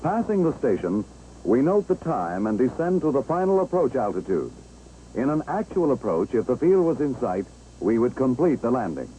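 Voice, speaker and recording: An American man narrating a 1960s instructional film, explaining step by step in a measured, clear way. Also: mid-range voice, sing-song, warm tone, poor audio. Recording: old 1960s film soundtrack, engine-like background noise.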